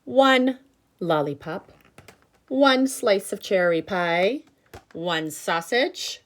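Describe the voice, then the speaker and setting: A woman teacher reading aloud to children, with expressive emphasis and instructive explanatory asides. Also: medium pitch, drawn-out words. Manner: instructive, checks in with listeners.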